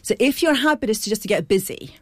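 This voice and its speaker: A woman's voice: mid-range voice, very animated, fast talker, husky, dry recording. A woman from Iceland speaking as a guest in a radio studio, in a mid-range voice. She talks in a thoughtful, earnest way and explains through analogies. The recording is dry and close. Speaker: clinic founder and guest. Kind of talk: studio interview.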